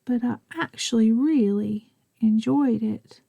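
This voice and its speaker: A middle-aged Southern woman chats and rambles slowly while she crafts, her voice gravelly and mellow. She drifts into half-whispers and pokes fun at herself. The sound is close and dry. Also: a little high, no echo. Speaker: crafting vlogger. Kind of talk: chatty craft tutorial narration.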